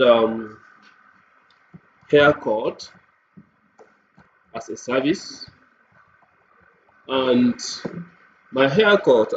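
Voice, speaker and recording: A man giving an unscripted screen-share software tutorial, explaining step by step and repeating points for emphasis. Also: mid-range voice. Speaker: software demo presenter. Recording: muffled lo-fi audio, clear background noise.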